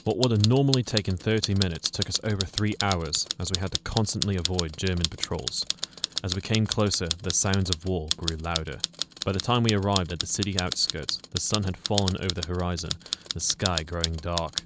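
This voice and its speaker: An earnest, courteous soldier played by a man in a wartime radio play. His voice is low, and the amateur recording is very noisy. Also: a little fast, lightly acted. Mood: sober narration. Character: earnest, polite male soldier recounting his wartime story. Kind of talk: radio drama dialogue and scripted narration.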